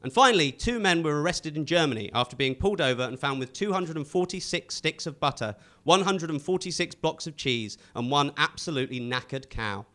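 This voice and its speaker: Male comedy panel show host, bright and forceful, wide-swinging intonation, punchy scripted gags. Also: fairly high pitch, lively intonation, a little fast, hardly any pauses. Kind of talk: scripted stand-up style jokes to a live audience.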